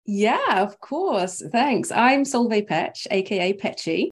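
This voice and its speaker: A woman from Norway, a brand strategist, guesting on an interview over a video call, chatty and quick to laugh. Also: mid-range voice, sing-song, slightly rough. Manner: chatty, laughs often, thinks aloud with fillers. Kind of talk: casual interview chat.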